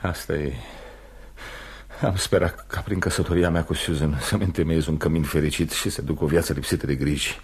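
A man's voice: very deep voice, steady tone, husky, heavily acted. An actor playing a drunk young man, romantic and bitter with guilt, in theatrical, wavering radio drama style. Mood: bitter remorse. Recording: music playing behind the voice.

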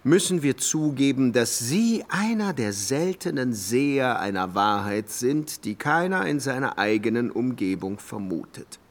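An actor playing a pedantic, erudite academic in a German radio drama, pompous and deliberate, drawing out phrases for emphasis. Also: male voice, mid-range voice, big pitch swings. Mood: astonished, ponderous. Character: pedantic, erudite male academic, ponderous and courteous.

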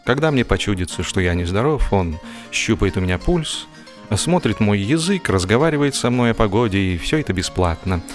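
Male storyteller, lowish voice, theatrical comic first-person narration, acts out hesitations and dialogue, faint music underneath. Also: lively intonation, brisk. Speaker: narrator of a comic audio drama.

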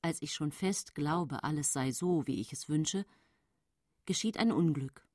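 An actress playing a calculating, self-pitying poisoner widow who narrates her own tale in a radio drama, with a husky voice. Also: low voice, measured pace, darkish tone, no echo, clean studio sound. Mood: urgent, self-excusing.

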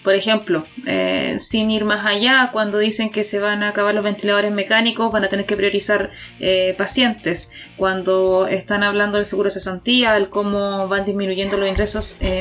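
Earnest Chilean woman giving her opinion. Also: mid-range voice. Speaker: co-host. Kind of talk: conversational political commentary.

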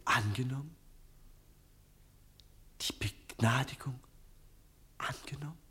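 An actor playing a passionate, idealistic teenage son in a German radio play, vehement and repeating himself. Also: male voice, mid-range voice, takes it slowly, bright, forceful tone. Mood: stunned.

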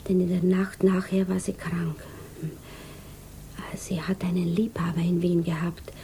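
Hushed, brooding woman, slow, subdued. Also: lowish voice, little pitch movement, creaky. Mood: troubled suspicion. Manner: hushed, introspective, deliberate. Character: anxious, brooding provincial woman, inwardly troubled. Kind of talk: 1950s German radio drama acting. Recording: noisy 1950s radio recording.

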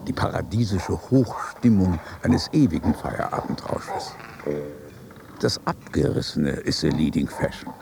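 Gravelly, sardonic old statesman. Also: deep voice, sing-song, measured pace, noisy background, heavily acted. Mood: pompous, wry. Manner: pompous, sardonic, ornate declamation. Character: aristocratic old statesman father in heaven, wry and world-weary. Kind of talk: literary radio drama dialogue.

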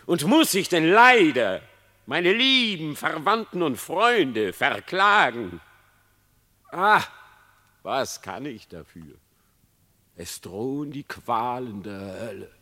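An actor playing a cunning, lying fox who theatrically feigns repentance in a classic German verse radio drama. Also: male voice, mid-range voice, very animated, takes it slowly, heavily acted. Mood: feigned anguish. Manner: theatrical, feigned repentance, swinging between hush and outcry. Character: cunning lying male fox pleading before his execution.

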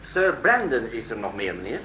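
An actor playing a cheeky, pedantic manservant with a deadpan, deferential wit in a radio play. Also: male voice, mid-range voice, moderate pace, crowd noise, natural voice. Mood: dry, cheeky. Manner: deadpan, over-literal, deferential. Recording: roomy old radio recording, noisy.

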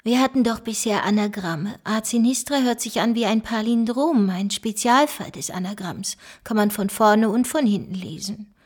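A woman's voice: mid-range voice, normal speed, hardly any pauses, breathy edge, close to an everyday voice. An actress playing an analytical, knowledgeable female investigator with dry wit, explaining clues in a radio drama. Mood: explanatory.

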